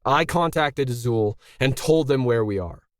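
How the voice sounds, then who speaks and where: A man's voice: medium pitch, unhurried, no room sound, clean studio sound, close to an everyday voice. A man voicing a bitter middle-aged male artist in a story, indignant, pressing and sarcastic. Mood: bitter, aggrieved.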